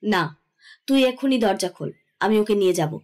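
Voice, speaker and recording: A woman's voice: mid-range voice, expressive, normal speed, darkish tone, close to an everyday voice. Woman acting a chiding, indulgent middle-aged mother. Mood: scolding. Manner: chiding, teasing, firm. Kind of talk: Bengali audio drama acting.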